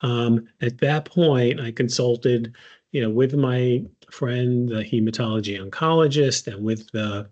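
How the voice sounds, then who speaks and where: An older man in his sixties speaks at an even, middle pitch. He tells his own story slowly and conversationally, pausing often and filling the gaps with ums and uhs. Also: highs cut off. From United States. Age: 60s. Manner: unhurried, many fillers and pauses. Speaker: patient advocate. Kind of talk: personal story, casual talk.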